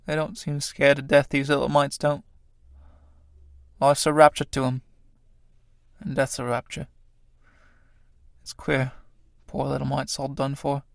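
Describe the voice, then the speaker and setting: A man's voice: on the high side, moderate pace, pauses often, dry recording, studio quality, natural voice. A man playing a battered old tramp. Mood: musing. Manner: rough colloquial speech, dropped letters. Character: battered old male tramp, rough and philosophical. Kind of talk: radio play acting.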